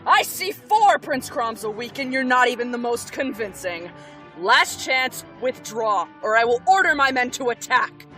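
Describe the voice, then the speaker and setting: A woman voices a battle-hardened gate commander in a fantasy audio drama. She is curt and imperious in a slightly high voice, with faint music behind her. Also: very animated, unhurried, bright, forceful tone, heavily acted. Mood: scornful threat. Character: stern, battle-hardened female fortress warden. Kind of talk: fan audio drama acting.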